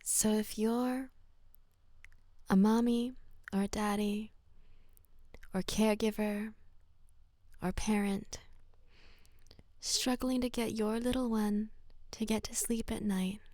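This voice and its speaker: A woman leading a slow, soothing guided relaxation in a soft, breathy, often near-whispered voice, gentle and unhurried with long pauses. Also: mid-range voice, steady tone, dry recording, studio quality. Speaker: guided meditation narrator.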